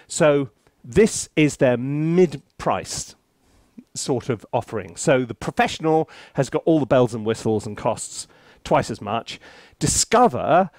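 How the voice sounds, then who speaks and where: A man's voice: medium pitch, a little mellow, no room sound. A British man presenting a casual, chatty tutorial with jokey, theatrical asides, often interrupting himself. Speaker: composer reviewing music software, presenter.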